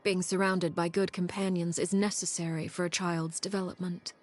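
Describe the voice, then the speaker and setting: An actress playing a cold, menacing female leader of a secretive house in a video game, speaking formally and with measured poise. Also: lowish voice, little pitch movement, close to an everyday voice. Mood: coolly menacing. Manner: measured, formal, veiled threats.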